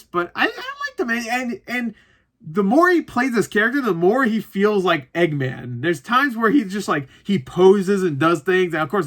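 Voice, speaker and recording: A man's voice: a little high, bright, forceful tone. A man giving a casual, rambling, opinionated movie review, informal and joking, swearing freely and repeating himself for emphasis.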